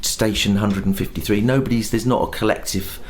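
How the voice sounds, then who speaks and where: A man's voice: fairly low pitch, steady tone, brisk. A man in his fifties, a pantomime performer, chatting casually backstage and slipping into silly voices now and then. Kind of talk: casual backstage interview chat. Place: backstage at a theatre.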